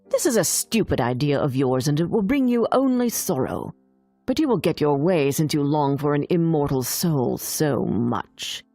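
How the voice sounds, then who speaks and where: A woman narrator plays an old sea witch from a fairy tale, wicked and foreboding. The words come low and slow, drawn out with sinister menace over music. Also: a little mellow, dry recording, heavily acted.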